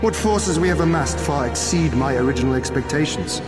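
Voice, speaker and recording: An actor playing an earnest, commanding exiled lord in a fantasy game, speaking formally and statelily. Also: male voice, a little high, animated, brisk, natural voice. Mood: gracious, assured. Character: noble exiled lord leading his homeland's liberation, earnest and commanding. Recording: echoey reverberant hall, music behind.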